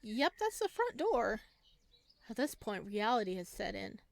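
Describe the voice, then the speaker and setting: A woman reading a visual novel aloud as a let's player, casual and expressive, with jokey commentary. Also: mid-range voice, measured pace, creaky, no echo.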